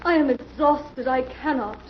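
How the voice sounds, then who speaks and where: An actress playing a fragile, theatrical psychic princess, plaintive and overwrought, in a 1940s radio mystery. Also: female voice, high-pitched, a little slow. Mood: faint, distraught. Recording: dull vintage radio recording.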